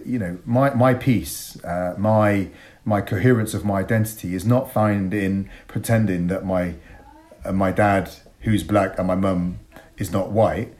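A man's voice: deep voice, steady tone, measured pace, darkish tone. A man in his forties from Bristol with an English accent, speaking as an interview guest in an articulate, emphatic way, making reflective arguments. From Bristol, England. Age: 40s.

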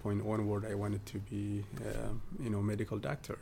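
A man from southern Ethiopia, a guest in a podcast interview, talking casually with many pauses and fillers. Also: on the low side, completely flat delivery, creaky, mellow, a little hiss or hum. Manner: hesitant, many fillers and restarts.

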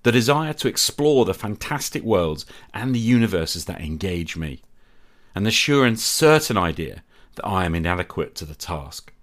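A man hosting a podcast, reading a measured, candid and self-critical monologue. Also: fairly low pitch. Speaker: podcast host. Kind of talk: reflective scripted monologue.

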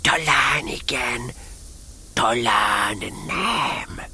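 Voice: mid-range voice, expressive, pushed and bright, husky, full character voice. An actor voicing a naive, pompous little toy bear in a children's radio play, musing with hesitant dignity. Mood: solemn, confiding.

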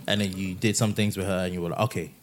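Low-voiced young guy hosting a chatty podcast with his mum, jokey and laughing, voice drops off quietly at the ends. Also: steady tone, fast. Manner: laughs easily, teasing, trails off quietly. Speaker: podcast host. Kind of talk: casual family podcast chat.

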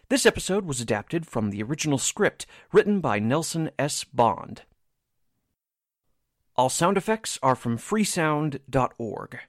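A man's voice: fairly high pitch, no room sound, studio quality. A man announcing scripted radio drama credits in a formal, carefully enunciated way.

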